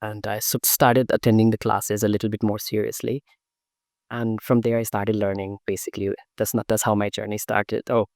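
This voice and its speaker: A middle-aged man from Guyana talks through his life story in an interview. His voice sits a bit low and comes across smooth and resonant. He gathers his thoughts aloud with fillers and restarts, recorded dry and close. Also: little pitch movement, normal speed, a little mellow, no echo. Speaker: guest, Kathak dancer. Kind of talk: conversational interview answers.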